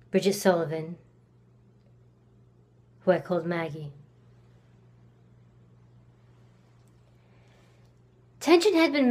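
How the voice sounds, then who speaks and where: A woman performing a dramatic monologue as a cold, reclusive, resentful woman accused of murder in the 1890s, with deliberate pauses. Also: fairly low pitch, a little mellow, close to an everyday voice. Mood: controlled, brooding. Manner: slow, deliberate delivery with long pauses.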